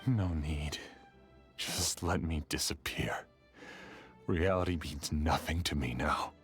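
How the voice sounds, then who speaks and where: A man voicing a withdrawn male scholar in a video game, speaking flat and half-whispered. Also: a bass voice, slow, faint music behind. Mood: resigned.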